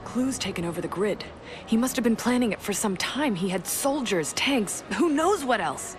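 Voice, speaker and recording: An actress playing a determined young resistance fighter in a video game, urgent, earnest and forthright. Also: female voice, fairly high pitch, animated, moderate pace, over music, natural voice. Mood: grave, urgent. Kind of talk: video game cutscene acting.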